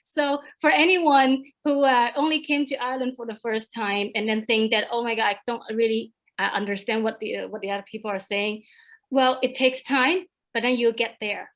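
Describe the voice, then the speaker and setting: A woman from China in her thirties talks in English, her second language, as an online panel guest. Her voice sits high, and she tells her stories with animation. She often stumbles and restarts mid-sentence. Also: normal speed. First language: Chinese. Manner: animated storytelling, many repeated starts. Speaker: alumni guest speaker. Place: home, over a video call.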